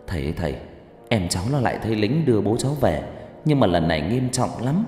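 A man's voice: fairly low pitch, sing-song, quick pace, darkish tone, faint music behind. A man voicing a worried older brother, a young man who is flustered and respectful, in a horror story. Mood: anxious, urgent. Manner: deferential, flustered. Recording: echoey hall reverb.

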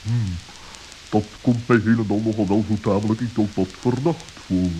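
A man voicing a pompous, suspicious, scholarly owl with a wordy, deliberate manner in a children's radio play. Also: lowish voice, fairly even intonation, warm tone, soft background music, close to an everyday voice. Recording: hissy, crackly old audio recording.

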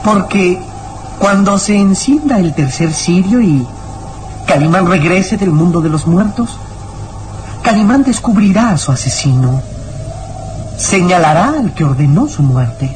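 Bold loyal boy, high voice, slow and solemn, noisy old radio play. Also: lively intonation, darkish tone. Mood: defiant. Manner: deliberate, solemn, insistent. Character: defiant, loyal boy challenging a baron. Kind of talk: old Latin American radio drama acting.

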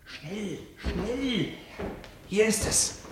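A man's voice: high voice, lively intonation, extremely slow, very noisy, exaggerated voice. An actor in a 1960s German radio drama playing an impatient, rude young man who orders others around with brusque mockery. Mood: hushed, urgent. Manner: brusque, commanding, mocking.

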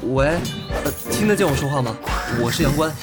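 A male actor plays a nervous young newcomer in an online game radio drama. He sounds timid, hesitant and flustered, with very animated pitch. Music is loud behind him. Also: brisk, lightly acted. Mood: unsure. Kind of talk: Chinese audio drama acting.

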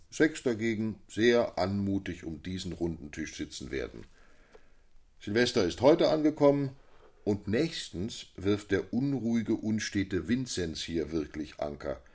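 A man's voice: on the low side, darkish tone, slightly rough, heavily acted. An actor playing an opinionated, witty man of a Romantic literary circle in scripted dialogue.

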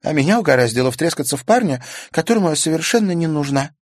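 Male reader doing a high, earnest girl student for an audiobook, anxious and pleading. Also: animated, fast talker, a little mellow, no room sound, clean studio sound. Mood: flustered. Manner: earnest and sighing, voice pushed high. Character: young woman, anxious, lovesick student. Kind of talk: audiobook character voicing.

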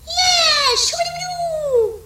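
A woman playing an excitable, dim sister with a childlike, exaggerated manner in an amateur radio comedy. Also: very high voice, slow pace, heavy background noise. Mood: gleeful. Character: Doris, excitable dim squeaky sister in a castle. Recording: roomy distant 1980s home recording.

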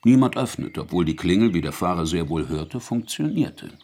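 A sly, menacing investigator in a radio drama. His voice is low and husky, and it rises and dips widely as he probes with mock courtesy and theatrical deliberation. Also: lively intonation, moderate pace, darkish tone. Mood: coolly methodical. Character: sly, polite male investigator, probing and menacing. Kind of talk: German radio drama acting.

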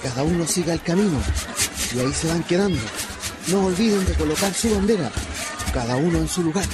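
Un actor de radioteatro con acento chileno que interpreta a un dirigente de una toma nocturna de terrenos, urgente y dando órdenes cortas. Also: male voice, a little high, expressive, brisk, music in the background, natural voice. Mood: firm, guarded. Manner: commanding, short orders. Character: male leader of a night land occupation, urgent, comradely. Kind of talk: radio drama acting.

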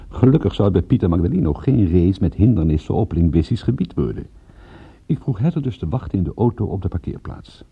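A wry private eye in a radio play. His voice is fairly low and husky, with dry, weary understatement. The recording is thin and narrow, like a phone line. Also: exaggerated voice. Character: wry, weary English private detective, grown man. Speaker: actor playing narrator and lead. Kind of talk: radio drama acting and first-person narration.

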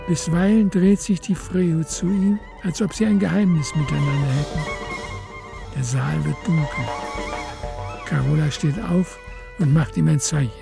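Woman narrating a radio drama, creaky voice, music underneath. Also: deep voice. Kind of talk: scripted literary narration in a radio play.

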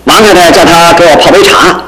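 A man's voice: fairly high pitch, fairly quick, a bit bright, a little hiss or hum, natural voice, poor audio. A man acting in a radio drama as an impatient but loyal friend, speaking in exclamations. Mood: resigned.

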